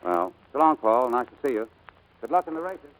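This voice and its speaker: An actor playing a breezy, cocky, footloose pilot in a 1940s radio drama, slangy and offhand. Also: male voice, mid-range voice, very animated, a little fast, slight background noise, close to an everyday voice, rough recording. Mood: casual, evasive. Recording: vintage 1940s radio broadcast.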